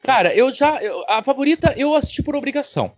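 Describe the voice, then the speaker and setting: A man's voice: very high-pitched, expressive, fast talker, no room sound, faint music behind. A man with a Brazilian accent chatting casually about films on a podcast, blunt and opinionated, swearing freely. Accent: Brazilian Portuguese. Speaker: podcast panelist. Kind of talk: casual film chat.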